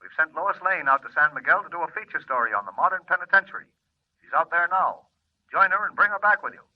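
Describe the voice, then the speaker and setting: An actor playing a brisk, gruffly genial newspaper boss, punchy and hearty, in 1940s radio style. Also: male voice, on the high side, animated. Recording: dull old radio telephone scene.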